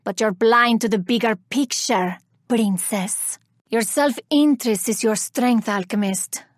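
An actress playing a vengeful, haughty elven woman warrior in a video game, speaking with deliberate, theatrical menace. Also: a little high, no room sound, studio quality. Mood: haughty scorn. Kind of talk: video game character voice lines.

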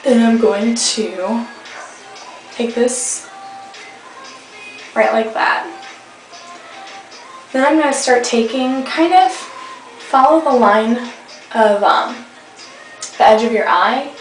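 Chatty young woman, slightly hoarse. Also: fairly high pitch, slow, long pauses. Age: young adult. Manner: chatty, rambling with fillers. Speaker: beauty vlogger. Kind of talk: casual hair tutorial. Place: indoor room at home. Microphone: webcam at arm's length. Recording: echoey room, faint music behind.

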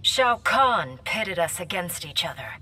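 An actress playing a proud, resolute young warrior princess in a video game, speaking in formal, measured commands. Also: female voice, lowish voice, sing-song, distant mic, loud music behind the voice. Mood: stern, composed. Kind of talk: scripted video game fight dialogue.

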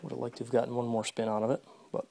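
A man with a gravelly, mid-pitched voice narrates offhandedly as he works on an electric fence inside a horse barn. He mutters to himself and his horses between steps of the job. The sound comes from a phone camera held close in the stall. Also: little pitch movement, racing, dark tone, slight background noise. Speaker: video creator demonstrating fence work. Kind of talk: casual running commentary while working. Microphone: phone camera held close, first-person view.

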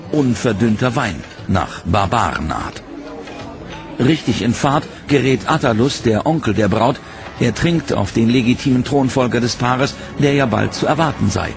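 A man narrating a history documentary from a script, in a measured, dramatic way. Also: mid-range voice, animated, creaky, music in the background.

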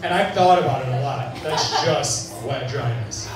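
Deadpan young man doing stand-up. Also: mid-range voice, normal speed, loud music behind the voice. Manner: deadpan, dry delivery. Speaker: stand-up comedian. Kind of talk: stand-up set. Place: bar stage with a live audience. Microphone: handheld mic, filmed from far in the crowd. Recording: echoey bar sound from far off, crowd laughter.

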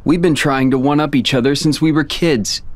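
An actor playing a cold, sardonic young villain in a video game, speaking in a cool, taunting way over music. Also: male voice, on the high side, steady tone, moderate pace, a little hiss or hum, close to an everyday voice. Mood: smug taunting. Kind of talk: video game cutscene acting.

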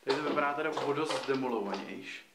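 A man's voice: medium pitch, noisy background. Young man musing casually on camera. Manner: loose, musing, slangy. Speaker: urbex vlogger. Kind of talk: casual walk-through commentary. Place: abandoned hotel, indoors and on rooftops. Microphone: handheld camera close to face.

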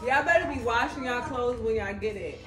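A woman's voice: fairly high pitch, fairly quick, crowd noise. Chatty woman in an echoey kitchen. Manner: chatty, laughs often, talks to viewers as y'all. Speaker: family vlogger, mother. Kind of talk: casual family vlog chat. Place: large home kitchen and laundry area. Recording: echoey room, mic at a distance, household noise.

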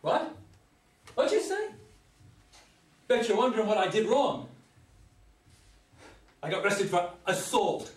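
Man playing a high-voiced, volatile ex-convict hitchhiker. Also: sing-song, unhurried, pauses often. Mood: needling, menacing. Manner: loud, folksy, erratic, menacing. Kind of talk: staged play reading. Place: meeting room, around a table. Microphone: far camera. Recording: distant mic in echoey room.

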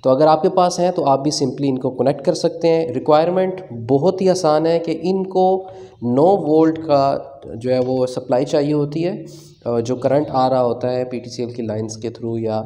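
A man's voice: mid-range voice, moderate pace, dark tone. A man with a Pakistani Urdu accent hosting a hands-on tech tutorial, chatting casually with fillers as he works with his hands at a table. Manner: casual explanatory, many fillers, describes while working. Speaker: tech channel host.

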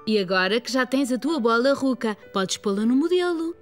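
Woman as cartoon mum, reassuring, kindly, fairly high lively voice, music in background. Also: normal speed, close to an everyday voice. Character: reassuring, kindly grown woman, mother or carer. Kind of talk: children's cartoon dubbing.

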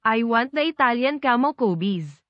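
A text-to-speech woman's voice with a Filipino accent, reading out chat messages in a flat synthetic way. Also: a little high, normal speed, lo-fi sound, soft background music.

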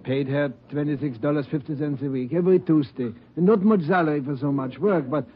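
A man's voice: on the high side, a little fast, close to an everyday voice. An actor with a Dutch accent playing a kindly, chatty male restaurant owner who repeats himself, in a 1940s radio drama. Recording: dull 1940s radio recording.

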